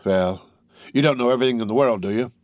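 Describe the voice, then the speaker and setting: A man doing a scoffing loud soldier. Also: mid-range voice, fast talker, no room sound, lightly acted. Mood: scornful. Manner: stubborn, scoffing. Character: loud, scornful young male soldier.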